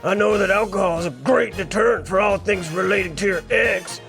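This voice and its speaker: A man voicing a blunt, hard-drinking, divorced old barkeep type with a gravelly voice in a comedic anime parody dub. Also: high voice, a little fast, soft background music. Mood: bitter, maudlin. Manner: blunt, rambling, drunken deadpan.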